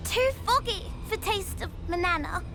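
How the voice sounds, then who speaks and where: A woman voicing a small, excitable creature in a video game, talking in childlike broken grammar. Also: very high-pitched, normal speed, music in the background. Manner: childlike broken grammar, third-person self-reference. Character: small, excitable, food-loving female cartoonish creature. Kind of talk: video game cutscene acting.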